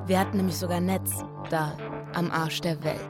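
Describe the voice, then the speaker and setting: An actress playing a curious, wry young backpacker in a radio drama, casual and direct. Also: female voice, fairly low pitch, steady tone, moderate pace, over loud music, natural voice. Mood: wry recounting. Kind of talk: German radio drama, narration and dialogue.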